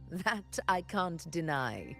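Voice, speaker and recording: A bold, composed warrior woman from a fantasy game. Her delivery is slow and sweeps widely in pitch, with music clearly behind it. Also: big pitch swings, fairly bright tone, heavily acted. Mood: warm, composed. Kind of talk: video game voice acting.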